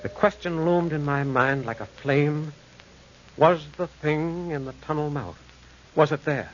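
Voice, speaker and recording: A man's voice: a little high, a little slow, lightly acted. A man playing an earnest railway man telling a supernatural tale with theatrical intensity and suspense, in old radio style. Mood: grave suspense. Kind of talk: 1940s-style dramatic radio narration. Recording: dull, faintly noisy old radio recording.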